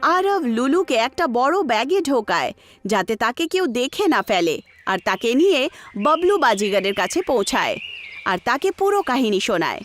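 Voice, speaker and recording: A woman's voice: on the high side, sing-song, normal speed, a bit bright. A woman narrator reading a scripted children's story.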